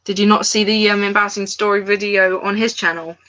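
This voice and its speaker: A woman's voice: mid-range voice, little pitch movement, brisk, fairly bright tone, poor audio. A woman chatting casually on a live stream while doing crafts at home. She rambles and talks straight to the viewers in her chat. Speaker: crafts livestreamer. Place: at home, indoors.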